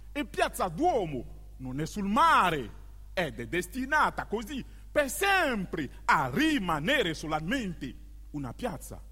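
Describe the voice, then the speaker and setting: An actor plays an ironic male stage storyteller with a high, husky voice. He declaims slowly, with emphatic pauses and huge swings of pitch. The sound rings in a long hall reverb. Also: sharp tone, exaggerated voice. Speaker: stage narrator. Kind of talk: theatrical monologue for radio theatre.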